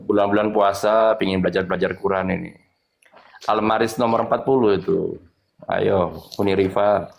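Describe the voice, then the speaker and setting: A man's voice: deep voice, fairly even intonation, normal speed, creaky. A man in an informal live argument, taunting and interrupting with pushy repeated demands. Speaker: debate participant.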